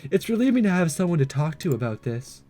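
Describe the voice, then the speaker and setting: Visual novel schoolgirl class representative, read by a man: conscientious, careful, formal, higher-pitched, music behind. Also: very animated, quick pace, warm tone. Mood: conflicted, relieved. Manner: hesitant, careful, formal wording.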